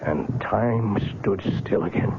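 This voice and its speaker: An actor playing a brooding, obsessive widower with a short temper and guilty conscience, in an intense, confessional old-time radio style. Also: male voice, lowish voice, takes it slowly, heavy background noise. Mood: grim, brooding. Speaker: narrator and lead character. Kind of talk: old-time radio drama acting and first-person narration. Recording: muffled vintage radio recording, loud music behind.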